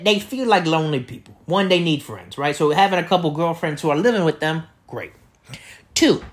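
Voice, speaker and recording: A man with a loud, projecting voice rambling through a comedic solo monologue as a podcast host, repeating himself and tossing out rhetorical questions. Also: a little high. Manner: repetitive, riffing with catchphrases and rhetorical questions. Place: indoor room beside an open window.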